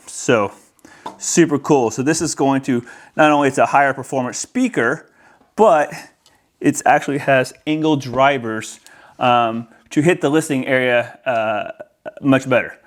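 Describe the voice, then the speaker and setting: A man's voice: mid-range voice, a little slow. Casual American man presenting a product. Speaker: audio-video installer presenting a product. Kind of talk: casual product unboxing and demonstration. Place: indoors in a house, near a window.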